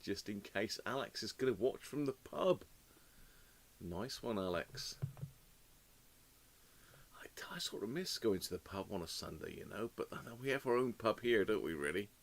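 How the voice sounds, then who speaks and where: Casting: male host, a bit hoarse from a cold, rambling and friendly, greets a live chat one name at a time on a desk mic at home. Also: on the low side, animated, normal speed, pauses often. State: slightly hoarse with a cold and cough. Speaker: host of a live radio repair stream. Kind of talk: casual live-stream chat greeting viewers. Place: home workshop. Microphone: desk microphone.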